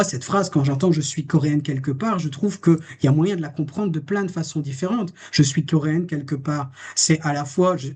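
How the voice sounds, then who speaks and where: A man's voice: high-pitched, darkish tone, slightly rough. A man, a therapist, giving a reflective public lecture on a case, posing rhetorical questions as he goes. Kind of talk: lecture presenting a case study.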